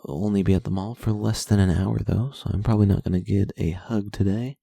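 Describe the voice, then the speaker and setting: Very low, gravelly young man. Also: steady tone, fast talker, mellow, dry recording. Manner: profane, self-deprecating, rambling. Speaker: vlogger reading his journal. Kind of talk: late-night journal reading, casual.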